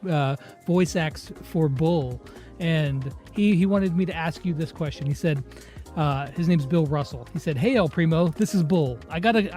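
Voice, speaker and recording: A man's voice: fairly high pitch, darkish tone. A man hosting a casual interview, chatting in a slangy, enthusiastic way. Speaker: interview host.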